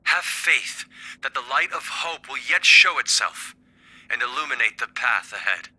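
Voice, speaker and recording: An actor playing a solemn princely statesman giving a measured, stately formal address in a video game. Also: mid-range voice, lively intonation, bright, forceful tone, husky, exaggerated voice, rough recording. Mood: solemn, resolute.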